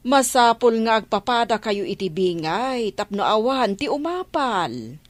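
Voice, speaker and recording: Actress as firm elderly Ilocano matriarch for radio serial: plain-spoken, animated intonation, dry close sound. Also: female voice, a little high, unhurried, no echo. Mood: even-handed. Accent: Filipino, Ilocano. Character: firm, hardworking old mother, grandmother sharing out harvest. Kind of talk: radio drama acting.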